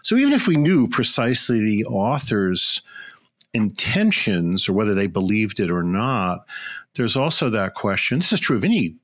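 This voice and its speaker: A man's voice: lowish voice, very animated, moderate pace, a bit bright, dry recording. Male podcast host, enthusiastic and chatty, dry close recording. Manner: enthusiastic, chatty, jokey asides. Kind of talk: conversational interview.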